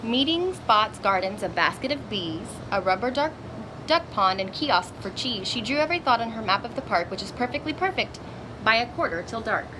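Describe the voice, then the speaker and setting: Higher-voiced woman reading aloud over loud noise. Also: moderate pace. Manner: expressive, acts out exclamations and dialogue. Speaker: storyteller reading a children's book aloud. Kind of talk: picture-book read-aloud with asides to children.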